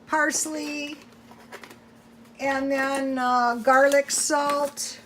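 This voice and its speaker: Lady w a high voice chatting while she cooks, folksy, thinks out loud, bit of noise in the kitchen. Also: little pitch movement, extremely slow. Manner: rambling, thinking aloud, folksy. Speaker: home cooking vlogger. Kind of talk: casual chat while cooking, talking to viewers. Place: home kitchen.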